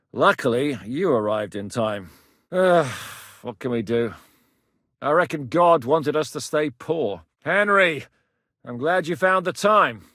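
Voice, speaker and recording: An actor playing a blunt, battle-hardened middle-aged mercenary commander in a video game, coarse-spoken with a dry wit. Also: male voice, mid-range voice, fairly bright tone, no echo. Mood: grim, relieved. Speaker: military commander. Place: studio booth. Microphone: close studio mic.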